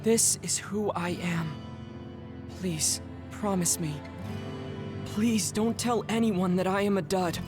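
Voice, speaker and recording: A man voicing a reserved young male soldier who hides a secret, speaking formally and softly. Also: high voice, takes it slowly. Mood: worried, formal. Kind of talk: game cutscene dialogue. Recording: game audio with music behind.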